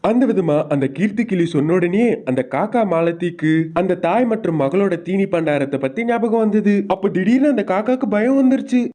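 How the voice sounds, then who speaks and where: A man's voice: high-pitched, lively intonation, brisk, hardly any pauses, mellow. A man narrating a scripted children's bird story.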